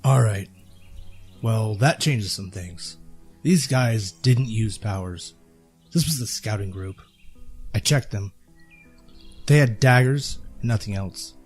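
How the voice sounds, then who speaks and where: A man playing a stern fighter who leads a small squad in an audio drama, terse and husky. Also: on the low side, takes it slowly, long pauses, faint music behind. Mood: curt, assured. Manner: terse, authoritative.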